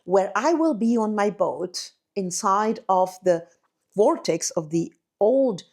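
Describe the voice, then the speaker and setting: A woman, an Arabic speaker, talks straight to her viewers in a rolling, incantatory spiritual monologue. Her voice sits at a middling pitch for a woman. She stacks phrases one after another and leans on "you know, like" as she goes. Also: animated, slow pace, a little mellow. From Egypt. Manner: effusive and incantatory, addresses listeners as beloved, many "you know, like" fillers. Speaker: spiritual teacher and channel host.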